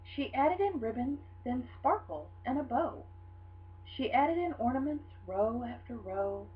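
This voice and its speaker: Animated woman reading a storybook aloud. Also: sits high, unhurried. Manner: animated, dramatic storytelling delivery. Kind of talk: expressive children's picture book read-aloud. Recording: dull lo-fi sound with hum.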